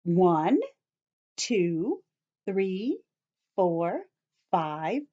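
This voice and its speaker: Slow, expressive woman teaching young children. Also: fairly low pitch, lo-fi sound. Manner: simple short phrases, addressing children directly, careful emphasis. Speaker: children's teacher reading a picture book. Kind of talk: story time for young children, read-aloud and explanation.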